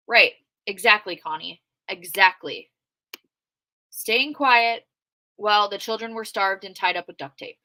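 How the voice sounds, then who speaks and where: Outspoken woman with a bright, dry voice. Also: a little high, no echo, rough recording. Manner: conversational with frequent fillers. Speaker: true crime commentator reading live chat. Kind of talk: casual livestream commentary.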